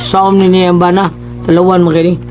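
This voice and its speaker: A man with a high voice and a Cebuano accent chats as a radio co-host. He retells things casually over faint music. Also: flat delivery, fairly quick, a little mellow.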